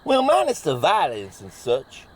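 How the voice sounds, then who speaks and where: Backwoods monster hunter, high animated voice, folksy drawl, rambling, cocky, audio drama comedy. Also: male voice, a little slow, slightly rough, heavily acted, poor audio. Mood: needling. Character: cocky folksy backwoods monster-hunting man, trigger-happy joker.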